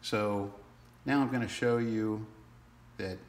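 Low-voiced man giving a casual tutorial. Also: steady tone, takes it slowly. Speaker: product demonstrator. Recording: roomy sound with faint background noise.

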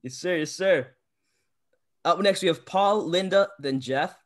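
A man hosting a video call, briskly calling on each speaker in turn. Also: high voice. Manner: brisk, keeps things moving. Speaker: host.

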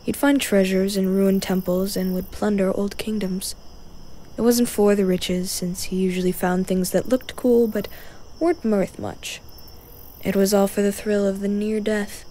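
A woman's voice: mid-range voice, warm tone. A woman reading scripted story narration as a storyteller.

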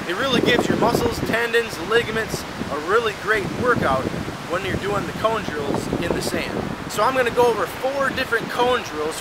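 A young man hosting his fitness channel, coaching exercises outdoors on a beach in an upbeat, direct manner. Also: high-pitched. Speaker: fitness trainer and channel host. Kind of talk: instructional fitness vlog. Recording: loud wind noise on the mic. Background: loud wind and surf noise.

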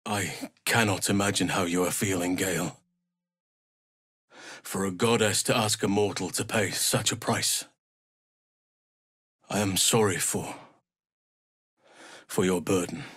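An actor playing a gentle, solemn druid healer in a fantasy video game, speaking in a measured, earnest, formal way. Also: male voice, deep voice, slightly rough, no room sound, clean studio sound, full character voice. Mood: solemn, concerned.

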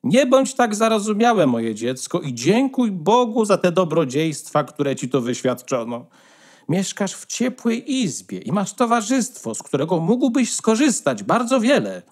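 Man playing a bossy, high-swooping mother hen. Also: very animated, unhurried, darkish tone, full character voice. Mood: condescending, scolding. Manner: condescending, lecturing. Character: bossy, smug female hen, scolding and self-important. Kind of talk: fairy-tale radio play acting.

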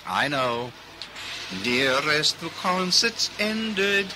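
An actor in a radio play playing a mischievous, rambling 1920s English radio engineer at the microphone, theatrical and playfully hesitant. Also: male voice, a little high, animated, drawn-out words, noisy background. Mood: wistful, chatty.

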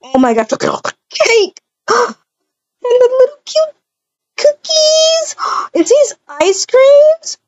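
A woman voicing an excitable, bubbly young woman in a game roleplay, gushing with breathy exclamations. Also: very high voice, takes it slowly, pauses often, pitched above the performer's own voice, heavily acted. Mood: amazed, delighted. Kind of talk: improvised video game roleplay. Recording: dull, muffled lo-fi recording.

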